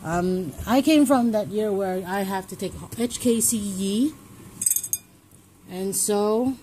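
A woman's voice: mid-range voice, slow, mellow, a little hiss or hum, low-quality recording. A young woman from Hong Kong talking casually at home in a personal vlog, rambling and restarting her sentences as she thinks aloud. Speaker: vlogger. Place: home, indoors.

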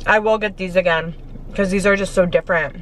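A woman's voice: lowish voice, fairly even intonation, brisk, rough recording. A woman in her twenties with an American accent, chatting casually and gushingly to camera as she eats in a parked car. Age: 20s. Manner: informal, gushing, talking between bites. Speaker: food vlogger. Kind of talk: casual chatty eating vlog. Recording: faint car and street noise.

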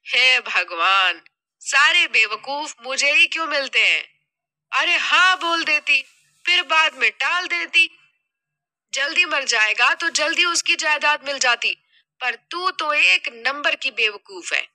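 A woman's voice: high voice, expressive, unhurried, sharp tone, pitched above the performer's own voice, exaggerated voice. A woman voicing a bossy, money-minded middle-aged mother in an audio drama, scolding in an exasperated, dramatic way.

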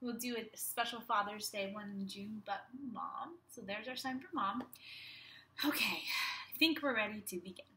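A young woman teacher reading a storybook aloud to young children in an animated, expressive way, speaking to them directly. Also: medium pitch, normal speed. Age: young adult. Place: small room at home. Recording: roomy, echoey home webcam sound.

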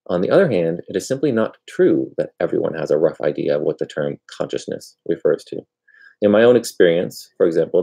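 A man in his thirties with a gravelly, husky voice, reading aloud from an academic book in a halting way, sometimes correcting himself. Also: deep voice, mellow. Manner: halting, with misreadings and self-corrections. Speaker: amateur book reader. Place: furnished room at home, indoors.

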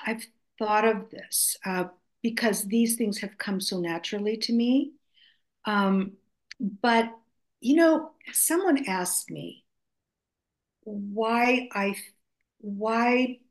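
An older woman whose first language is Greek, speaking as an author guest over a video call, telling stories in a reflective way with many restarts. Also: medium pitch. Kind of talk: conversational storytelling. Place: home room, video call.

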